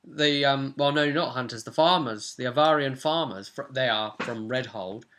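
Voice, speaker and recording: A man's voice: medium pitch, normal speed, a bit bright, slight background noise. A young man casually recapping a tabletop role-playing session as game master. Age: young adult. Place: small furnished room at home.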